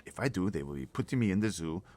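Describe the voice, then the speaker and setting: A man doing a gentle, deep-voiced friendly giant. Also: lively intonation, noisy background. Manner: slow, gentle, muddled grammar. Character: kindly, mournful giant with mangled grammar.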